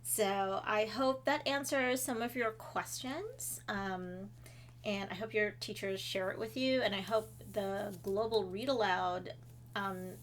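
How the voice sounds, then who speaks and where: A woman's voice: mid-range voice, slow, a little hiss or hum. A woman from Trinidad and Tobago, a children's author, answering kids' questions in a casual chat from her home office, with fillers and friendly asides. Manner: chatty, with fillers and warm asides to kids.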